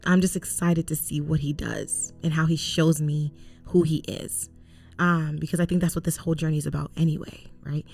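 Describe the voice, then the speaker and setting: A woman's voice: deep voice, little pitch movement, normal speed, gravelly, a little mellow, faint music behind. A young woman co-hosting a faith podcast, from Cameroon and raised in Canada, speaking earnestly and emphatically in a casual, preachy way. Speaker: co-host.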